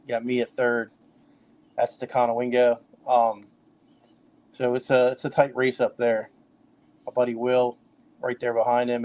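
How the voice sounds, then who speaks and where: A middle-aged man talks in a loose, rambling way and keeps restarting his sentences. His pitch stays fairly level and flat throughout. It is relaxed, casual podcast guest talk. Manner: loose, filler-heavy talk with many restarts. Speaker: kayak fishing trail director.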